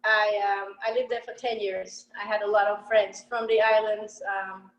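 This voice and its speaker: A woman's voice: mid-range voice, little pitch movement, moderate pace, reverberant, lo-fi sound, faint music behind. A middle-aged woman from Hungary presenting her baked goods in a casual, hesitant way, with frequent fillers. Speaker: baker presenting her pastries.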